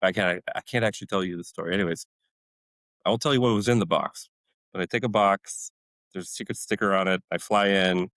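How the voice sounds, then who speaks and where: A man's voice: sits low, steady tone, brisk, a bit bright, dry recording. A man in his forties whose first language is Polish, a podcast guest telling army stories casually and animatedly, laughing often and acting out other people. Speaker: podcast guest, retired Army warrant officer. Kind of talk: casual conversational storytelling.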